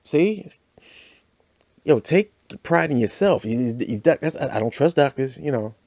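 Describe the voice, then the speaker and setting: A man's voice: medium pitch, lively intonation, unhurried, a little mellow, dry recording. Middle-aged man telling jokes, lo-fi, dry. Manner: storytelling with character voices and frequent "you know". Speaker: comedian host. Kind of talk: stand-up comedy monologue.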